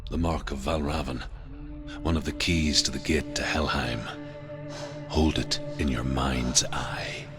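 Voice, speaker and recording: An actor playing a solemn, commanding otherworldly voice in a dark fantasy game, breathy and portentous. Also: male voice, extremely low pitch, steady tone. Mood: solemn, menacing. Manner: slow, portentous. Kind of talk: dramatic game voice acting. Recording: music behind the voice.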